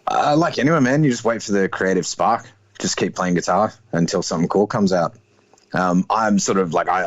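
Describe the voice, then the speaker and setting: A deep, low-voiced man in his thirties, talking as an interview guest. He is laid-back and hedges every point with fillers and "sort of". The sound is dry and close, with no room around it. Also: no echo, muffled recording. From Australia. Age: 30s. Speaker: guest musician. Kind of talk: casual interview chat.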